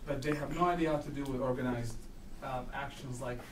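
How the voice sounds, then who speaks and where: A man from Palestine speaks at a brisk clip, earnest and halting, starting sentences over. He sounds distant in an echoey room, with steady background noise under his voice. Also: mid-range voice. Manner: earnest, halting with many restarts. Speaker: audience member speaking at a talk. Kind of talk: public Q&A comment.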